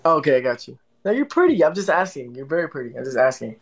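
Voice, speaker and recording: A man's voice: fairly high pitch, big pitch swings, fast talker. A young man from Florida hosting a casual phone livestream, thanking viewers for gifts and teasing his guest. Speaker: livestream host. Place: indoor room.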